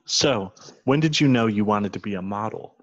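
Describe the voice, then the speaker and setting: A man's voice: on the low side, normal speed, lightly acted. A man playing a polite, curious young female journalist with tactful questions in an amateur comedy read. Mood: polite curiosity. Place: home, on a group video call. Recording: muffled video-call audio.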